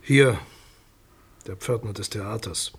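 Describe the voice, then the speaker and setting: A man's voice: lowish voice, takes it slowly, husky, exaggerated voice. An actor playing a sober, guarded male public prosecutor in a naturalistic radio crime drama, speaking in formal, precise, matter-of-fact officialese.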